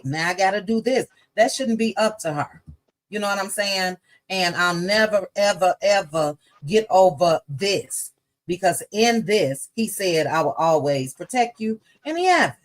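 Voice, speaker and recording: A woman hosting a casual gossip livestream, animated and slangy, drawling some words and rushing others. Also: medium pitch. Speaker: livestream host.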